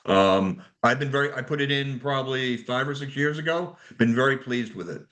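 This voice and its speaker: A man moderating a club technical call in a casual, halting way, often repeating words as he goes. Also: mid-range voice, a bit bright. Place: remote conference call. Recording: conference call audio.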